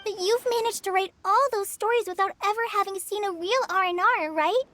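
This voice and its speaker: A woman's voice: extremely high pitch, fairly quick, pushed and bright. A woman voicing a tiny, childlike companion sprite in a video game, frank and chatty in a cutesy, blunt way. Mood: encouraging.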